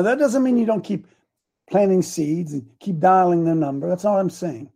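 A man's voice: a little high, vocal fry, mellow, breathy edge. A man in his seventies preaching a casual, folksy sermon, repeating his points with emphasis. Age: 70s.

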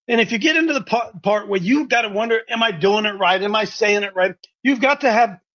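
A man's voice: sits high, a bit bright, dull audio. A man hosting a religious talk show, preaching emphatically and repeating his points. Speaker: host and street preacher.

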